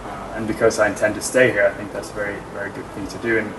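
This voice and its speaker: A man's voice: lowish voice, little pitch movement, racing, background noise. A man from Norway, a native Norwegian speaker, giving easygoing, earnest interview answers as an actor. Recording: roomy, distant mic, music underneath.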